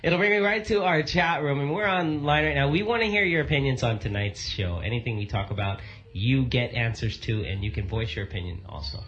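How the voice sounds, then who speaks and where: A man's voice: mid-range voice, animated, brisk, fairly bright tone, lo-fi sound. A man co-hosting a casual radio chat show who rambles and asks listeners questions.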